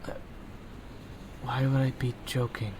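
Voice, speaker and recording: Young man, mid-pitched, slow wry drawl. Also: little pitch movement, background noise. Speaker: audio roleplay performer. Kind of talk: scripted audio roleplay.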